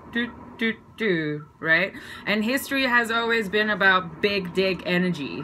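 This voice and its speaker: Slow, halting woman, Chinese native. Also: on the low side, bright, forceful tone, a little hiss or hum, poor audio. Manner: slow, halting, playful, with fillers and self-corrections. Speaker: video creator. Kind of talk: casual explainer lesson.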